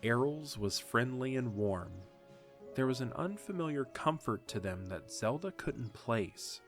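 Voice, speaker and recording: A man narrating a fan audio drama with steady, measured reading. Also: mid-range voice, soft background music. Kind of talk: scripted story narration.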